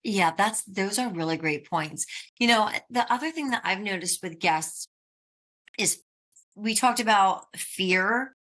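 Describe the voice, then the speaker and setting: An American woman hosts a relaxed podcast conversation, thinking aloud and laughing often. Her voice is bright, and she sits at a middle pitch. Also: unhurried. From United States. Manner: chatty, laughs often, reflective. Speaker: podcast host. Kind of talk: casual interview chat.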